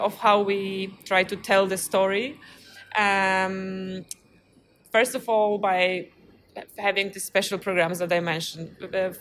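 Polish woman getting interviewed, mid pitch voice, kinda hesitant and thoughtful, some background noise. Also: a bit bright. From Poland. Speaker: interview guest, film festival programmer. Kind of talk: conversational interview answers. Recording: clearly noisy background ambience.

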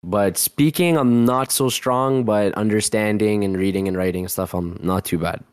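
A man's voice: on the low side, normal speed, clean tone, dry recording, clean studio sound. A man in his twenties, a guest telling a personal story in a casual interview, rambling with lots of restarts. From Canada. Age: 20s. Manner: rambling, heavy use of "like", frequent restarts.

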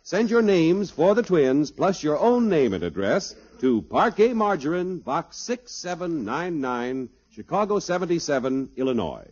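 A man's voice: a little high, big pitch swings, measured pace, few pauses, a little mellow. A man with an American accent announcing a 1950s radio advertisement. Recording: dull vintage 1950s radio recording.

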